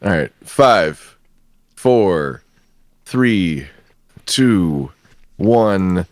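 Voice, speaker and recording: A man's voice: low voice, expressive, extremely slow, a little mellow, no echo, studio quality. A man talking casually as a guest on a livestream group chat.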